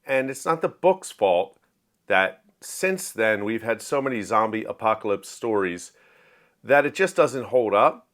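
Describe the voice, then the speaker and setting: A man's voice: lowish voice, expressive, measured pace, a bit bright. A man hosting a casual book review, speaking to camera in a plainspoken, opinionated, conversational way. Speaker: host of a book review show.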